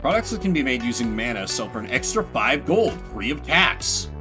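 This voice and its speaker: A man's voice: medium pitch, very animated, moderate pace, music in the background. A man giving casual, wry, joking commentary on a game he plays, reading its text aloud as he goes. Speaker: video game streamer.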